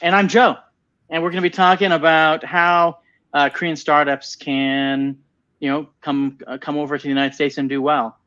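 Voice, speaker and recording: A man's voice: fairly high pitch, level tone, normal speed, bright, forceful tone, clear, resonant tone, dull audio. A man in a casual webinar conversation, talking with enthusiasm and repeating himself as he starts sentences. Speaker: venture capital partner and co-host.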